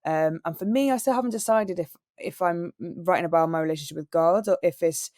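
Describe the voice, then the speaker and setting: A young woman, a singer and radio presenter, chatting in a relaxed interview and acting out the people in her stories. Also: on the low side, a little fast, no echo, clean studio sound. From Wales. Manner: animated storyteller, acts out quoted people.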